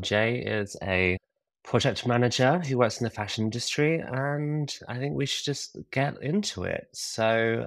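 A man interviewing a guest on a podcast, speaking in a relaxed, somewhat hesitant way with casual fillers. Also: fairly low pitch, vocal fry, no echo.

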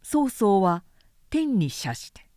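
A woman narrator reading a literary work aloud as an expressive storyteller. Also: mid-range voice, takes it slowly, no echo, studio quality. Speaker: narrator, reader.

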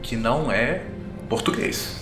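A man's voice: medium pitch, very animated, unhurried, gravelly. A man with a Brazilian accent improvising tabletop RPG narration as game master, setting scenes piece by piece. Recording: roomy echo, music underneath. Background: background music.

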